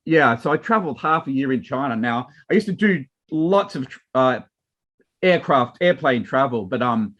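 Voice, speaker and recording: A man's voice: mid-range voice, expressive, moderate pace, a bit bright, highs cut off. An Australian man, a wine and marketing guest, chatting casually and rambling with many restarts and fillers. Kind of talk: casual panel chat.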